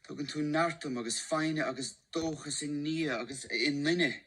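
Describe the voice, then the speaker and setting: A man's voice: on the high side, moderate pace, a bit bright. An actor playing a married male schoolteacher in a secret love affair, speaking in an earnest, confiding way in an audio drama. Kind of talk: radio drama acting.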